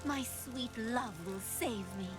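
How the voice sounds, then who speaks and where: A naive young farmer's daughter speaks in a high, sweet voice. She gushes adoringly in a fantasy game scene, with music behind. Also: slow pace. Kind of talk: video game voice acting.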